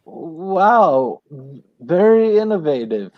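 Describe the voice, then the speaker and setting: Woman as earnest talking hound superhero for radio comedy: sensible, lively intonation, slightly dull call audio. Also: lowish voice, extremely slow, exaggerated voice. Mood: curious. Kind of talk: comedic radio play acting over video call. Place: dim room at home, on a video call.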